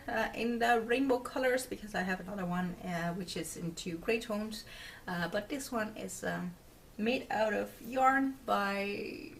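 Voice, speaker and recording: A Dutch woman in her twenties chats casually to camera in English, her second language, scattering ums and soft giggles. Her voice sits at a middling pitch. She is recorded at home on a mic set a little away from her, with a faint hiss behind. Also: recorded from a distance. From Netherlands. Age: 20s. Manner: chatty, many filler words and giggles. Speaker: knitting podcast host. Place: small room at home, indoors.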